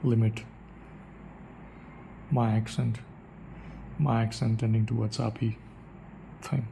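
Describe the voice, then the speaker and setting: A man's voice: on the low side, little pitch movement, takes it slowly, creaky, warm tone, slight background noise. A man with an Indian English accent, thinking aloud in a reflective solo monologue, restarting his sentences often. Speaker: podcaster.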